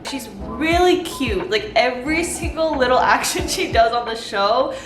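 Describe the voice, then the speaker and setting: A young woman talks eagerly and casually, with lots of "like" and a voice pitched a little high. Her voice sits in a roomy, echoing space. Soft music plays underneath. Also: lively intonation, a little slow. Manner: chatty, enthusiastic, lots of "like". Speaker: vlogger reviewing a TV show. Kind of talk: casual chat. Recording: roomy echo with background music. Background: soft background music.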